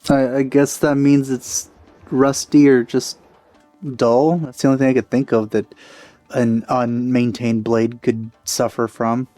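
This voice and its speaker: A man's voice: mid-range voice, little pitch movement, a little slow, dark tone, dry recording. Offhand male gamer over game music. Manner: offhand, joking. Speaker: let's play gamer. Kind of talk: casual gameplay commentary. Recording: game music behind commentary.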